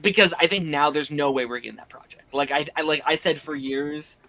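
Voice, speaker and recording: A man who is a little hoarse from being under the weather, chatting casually on a podcast and filling his talk with "like". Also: fairly high pitch, brisk, bright, forceful tone. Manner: rambling, many "like" fillers.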